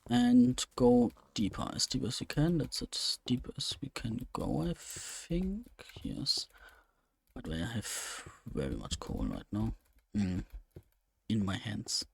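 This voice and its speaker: Slow, gravelly young man. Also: on the low side, very animated, a little mellow, a little hiss or hum. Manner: rambling, thinking aloud with many fillers. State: slightly strained voice. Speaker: let's play gamer. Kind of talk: casual gameplay commentary.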